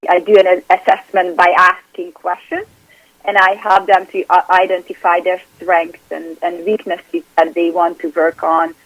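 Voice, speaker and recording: A woman with a Hungarian accent and a fairly low voice talks about her work as a performance coach. She is earnest and often repeats words as she restarts a thought. The recording is dry and close, with no room sound. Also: level tone, unhurried, no room sound, rough recording. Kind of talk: interview guest.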